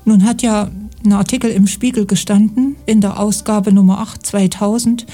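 Mellow-voiced woman radio host. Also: mid-range voice, steady tone. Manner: formal, measured questioning. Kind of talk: radio interview.

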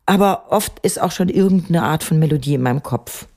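A middle-aged woman with a lowish, mellow voice talks about her songwriting in an unhurried, reflective way. She often pauses and hunts for the right word, with plenty of fillers between her thoughts. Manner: thoughtful, searching for words with many fillers. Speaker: songwriter guest. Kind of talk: reflective interview talk.

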